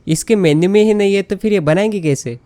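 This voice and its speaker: Very fast-talking Indian woman gamer. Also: medium pitch, warm tone. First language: Hindi. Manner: chatty, reacts and echoes dialogue. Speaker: gaming commentator. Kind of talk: casual gameplay commentary.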